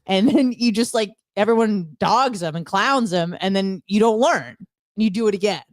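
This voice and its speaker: A woman's voice: mid-range voice, moderate pace, dry recording. Blunt, teasing woman dishing gossip. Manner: animated, blunt, teasing, acts out dialogue. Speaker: radio show co-host. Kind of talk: casual chat, gossipy storytelling.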